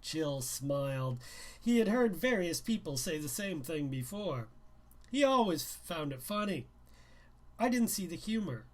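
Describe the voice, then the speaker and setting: A man's voice: fairly high pitch, big pitch swings, measured pace. A man doing an informal live reading of his young adult novel as its author, a little hesitant when chatting. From Canada. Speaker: author reading own book aloud.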